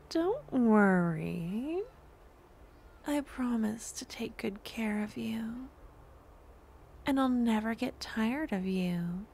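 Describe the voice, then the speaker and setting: Very slow, whispery woman acting a sweet yandere. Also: medium pitch, a little hiss or hum, heavily acted. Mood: possessive, romantic. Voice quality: soft, often breathy and whispery. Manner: slow, cooing, gentle coaxing. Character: devoted, possessive young woman necromancer stalker, sweetly menacing. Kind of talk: ASMR audio roleplay, close and intimate.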